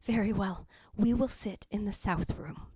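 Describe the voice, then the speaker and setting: A woman's voice: medium pitch, measured pace, dark tone, slightly rough, no echo. A woman playing a stern, snappish sister in an old-time radio ghost drama, curt and sharply emphatic. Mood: cold, composed.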